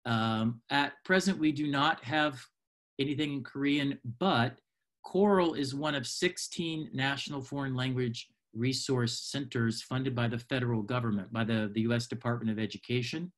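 A man in his fifties informally hosting a webinar on a video call, speaking haltingly as he searches for words. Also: medium pitch, a bit bright. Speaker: webinar host. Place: small home room, indoors. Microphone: computer mic on a video call.

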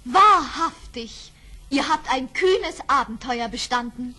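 Gentle princess, high breathy voice. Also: female voice, slow, full character voice. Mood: tender. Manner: calm, gracious, formal. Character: gentle, poised young princess of Bengal. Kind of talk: fairy-tale radio play acting.

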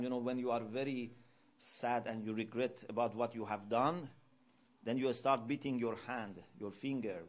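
A middle-aged man giving a religious lecture in a mosque hall. He teaches earnestly, quotes Arabic verses quickly and gets louder to stress a point. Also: mid-range voice. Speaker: Islamic lecturer.

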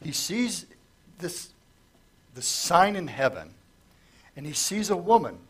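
A man's voice: high-pitched, sing-song, takes it slowly, fairly bright tone, breathy edge. A middle-aged man preaching a conversational Bible-study sermon in a church sanctuary, speaking at a lectern microphone while his voice is giving out. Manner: conversational, asks the congregation questions. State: losing his voice, coughing. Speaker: pastor. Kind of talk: sermon, verse-by-verse Bible teaching.

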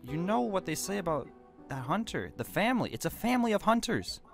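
A young man playing a confused teenage boy newly turned hellhound in a Minecraft roleplay drama, halting and stammering. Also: high-pitched, big pitch swings, creaky, heavily acted. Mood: incredulous. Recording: music behind the voice.

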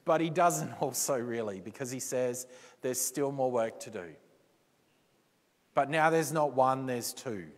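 A man preaching a sermon in a church, slow and deliberate, with dramatic pauses and quotes he acts out. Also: medium pitch, lively intonation. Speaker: pastor. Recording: reverberant church hall.

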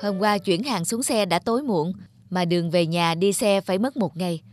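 A man playing an earnest, devoted middle-aged father in an animated moral tale, speaking in a measured way. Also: high voice, dry recording, close to an everyday voice. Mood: earnest, caring. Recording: music under the voice.

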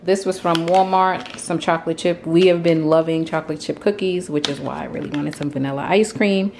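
A woman's voice: low voice, steady tone, brisk, creaky, noisy background. A woman in her thirties chatting casually in her kitchen, venting with small laughs while showing groceries. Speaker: home vlogger. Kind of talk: casual grocery haul and cleaning chat. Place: home kitchen. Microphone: handheld phone camera.

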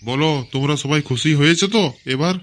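A man's voice: a little high, fast, fairly bright tone. A man voicing a foul-mouthed village father in a cartoon, grumbling and suspicious.

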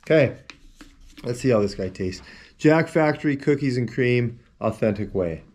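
A man's voice: mid-range voice, expressive, normal speed, a little mellow. A man in his forties giving a casual, rambling review to camera at a desk in a small room. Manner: rambling, easygoing, talks while handling the tub and shaker. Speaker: protein powder reviewer. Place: small indoor room with shelves of supplement tubs. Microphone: camera at a desk, wide framing.

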